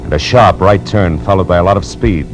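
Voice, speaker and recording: An American actor playing a tough, wisecracking private detective in a 1940s radio noir drama, with a terse, wry delivery. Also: male voice, sits low, fairly quick, lightly acted. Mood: tense narration. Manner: hard-boiled, terse, wry. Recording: muffled old radio recording, music behind.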